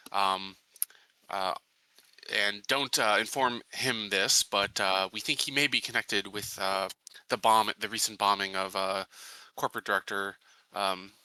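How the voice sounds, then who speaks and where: A man's voice: on the low side, pushed and bright, clear, resonant tone, no room sound, low-quality recording. A man playing a tabletop roleplaying game, chatting casually and hesitantly as he searches for his words.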